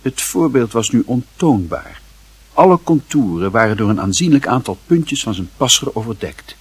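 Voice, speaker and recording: A man narrating a literary radio play as a wry storyteller with a husky voice. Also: medium pitch, big pitch swings, normal speed, no room sound. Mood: measured, wry.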